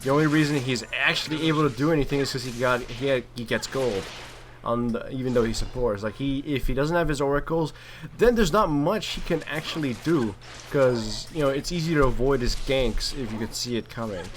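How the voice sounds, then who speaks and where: A man's voice: mid-range voice, fairly quick, a little hiss or hum. A man giving casual, chatty commentary on a video game replay as one of the players.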